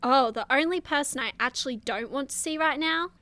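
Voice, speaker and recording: Casting: actress as sharp-tongued hero gal for radio comedy, wry and direct, bright high-pitched delivery, close dry sound. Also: female voice, brisk, no echo. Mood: angry, sarcastic. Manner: sarcastic, blunt. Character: sharp-tongued, wry young superheroine, a frank drinking buddy. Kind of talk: superhero comedy radio play acting.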